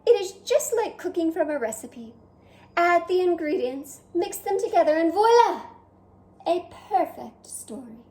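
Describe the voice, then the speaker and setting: A vain, dramatic male French snail from a storybook, as a woman reads him aloud, full of himself and talking straight to the listener. The voice sits high but mellow and unhurried. The sound carries a roomy echo. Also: very animated, exaggerated voice. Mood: vain, theatrical. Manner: theatrical, self-admiring, addresses listener directly.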